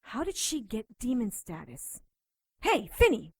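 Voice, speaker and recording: An actress playing a tough, sardonic occultist doctor in an audio drama, commanding and quick to threaten. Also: female voice, a little high, wildly swooping pitch, slightly rough, no echo, clean studio sound, exaggerated voice. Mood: demanding.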